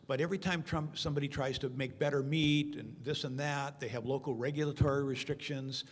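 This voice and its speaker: A man's voice: medium pitch, steady tone, fairly quick. A man speaking informally on a conference panel, explaining with anecdotes and examples in a reverberant hall. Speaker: panel speaker answering audience questions. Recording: long hall reverb over conference sound system.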